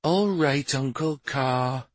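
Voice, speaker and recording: A man putting on an earnest young boy for a fantasy audiobook. The pitch is lifted well above the narration, with a slightly husky sound. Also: slow, no room sound. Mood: earnest, reluctant.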